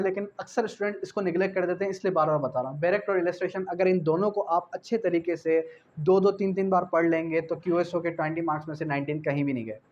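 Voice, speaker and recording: High-pitched Pakistani man lecturing. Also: darkish tone, highs cut off. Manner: didactic, repeats key phrases and asks rhetorical questions. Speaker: law tutor. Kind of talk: exam-prep lecture mixing Urdu with English legal terms.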